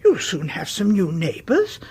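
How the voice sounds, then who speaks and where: Lilting, breathy elderly British neighbour. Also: female voice, mid-range voice, wildly swooping pitch, a little slow, full character voice. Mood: chatty, pleased. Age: older. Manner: motherly, chatty, gently fussing. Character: kindly, fussing elderly widowed-grandmother type neighbour, stoical. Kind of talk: radio play acting.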